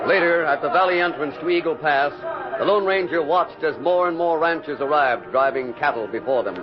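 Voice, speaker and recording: An actor narrating an old radio western in a stately, suspenseful announcer's manner. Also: male voice, fairly high pitch, brisk, lightly acted. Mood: dramatic. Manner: stately, suspenseful, carefully enunciated. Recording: dull vintage radio, loud music behind.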